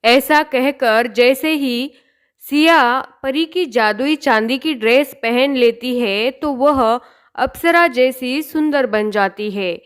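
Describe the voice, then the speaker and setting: A woman narrates a fairy tale in a high, clear voice. She takes her time over the scripted storytelling, keeping an unhurried pace throughout.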